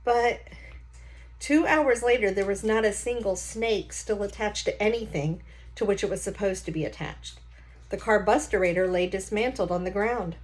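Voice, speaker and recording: A woman's voice: medium pitch, moderate pace. A woman reading a children's novel aloud like a teacher, with short explanatory asides.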